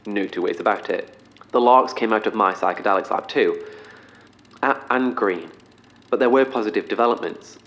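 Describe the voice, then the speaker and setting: An actor playing an eccentric professor in an audio drama, speaking in a formal, wordy, slightly awkward corporate manner. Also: male voice, fairly low pitch, a bit bright, lightly acted. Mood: defensive, halting. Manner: formal, wordy, halting under pressure. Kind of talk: orientation film and meeting speech in audio drama.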